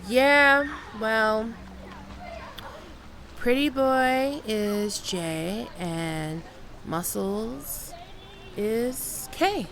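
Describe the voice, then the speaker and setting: Slow, lilting, flirtatious woman, acted. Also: fairly high pitch, lively intonation, creaky, a bit bright, slight background noise, heavily acted. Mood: amused, coy. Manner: coy, teasing, giggly, unhurried with pauses. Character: flirtatious, playful woman on holiday, teasing a stranger. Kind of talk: ASMR roleplay audio, conversational acting.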